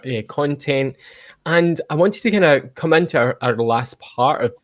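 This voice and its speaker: A man's voice: mid-range voice, no room sound, studio quality. Chatty man hosting a podcast. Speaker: podcast host. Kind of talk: casual online chat. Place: online call.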